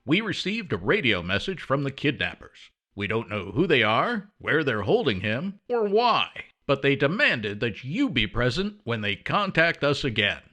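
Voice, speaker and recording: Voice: medium pitch, sing-song, normal speed, few pauses, fairly bright tone. An actor playing an earnest, formal president in a comedy audio drama. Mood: earnest, urgent. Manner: urgent, earnest.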